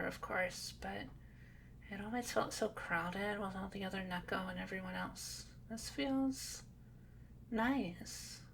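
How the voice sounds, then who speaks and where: A woman voicing a shy, polite young cat girl in a roleplay audio drama, speaking softly in a hesitant, apologetic way. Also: medium pitch, vocal fry, slight background noise. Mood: quietly content.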